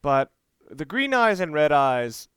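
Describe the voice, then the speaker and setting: A man giving casual, explanatory let's play commentary, halting and rephrasing as he plays. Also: fairly high pitch, very animated, a bit bright, clean tone, dry recording. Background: video game audio and music.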